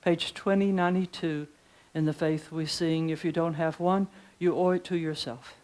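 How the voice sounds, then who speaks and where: An older woman with a deep voice leading a chatty preaching workshop in a conference room, reading hymn lyrics slowly. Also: fairly even intonation, a little mellow. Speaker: pastor teaching preachers. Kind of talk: informal preaching workshop talk. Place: conference room with seated audience.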